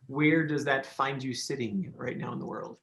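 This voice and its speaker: A man's voice: medium pitch, fairly even intonation, moderate pace, a bit bright, echoey room. A man hosting a podcast interview, talking casually with enthusiasm and plenty of fillers and restarts. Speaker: podcast host.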